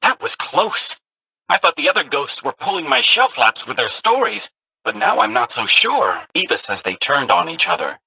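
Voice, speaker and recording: Small nervous robot sidekick, game dialogue, higher-pitched, crackly edge, theatrical, bookish, eager. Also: male voice, big pitch swings, moderate pace, gravelly, bright, forceful tone, breathy edge, dry recording, heavily acted. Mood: nervous alarm. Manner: theatrical, eager storytelling with jittery, swooping delivery. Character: small, excitable, bookish robot companion spirit, nervous lore collector. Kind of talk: video game character dialogue.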